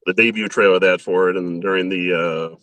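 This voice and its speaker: A young man chatting casually as an interview guest, bashful and quick to laugh at himself. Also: low-pitched, steady tone, a bit bright, no echo. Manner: laughs often, bashful and self-deprecating.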